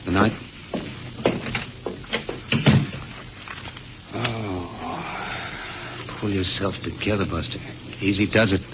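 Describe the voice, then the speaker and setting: A man's voice: lowish voice, fairly even intonation, a little slow. A male actor in a 1950s radio drama playing an earnest, wry young academic assistant, speaking conversationally on a vintage broadcast. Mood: uneasy, weary. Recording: muffled, noisy 1950 radio broadcast.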